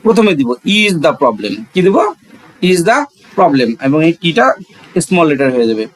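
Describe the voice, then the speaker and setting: High-pitched Bangladeshi male teacher. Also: big pitch swings, unhurried. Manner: didactic, repeats key phrases. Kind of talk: explanatory grammar lesson with rhetorical questions.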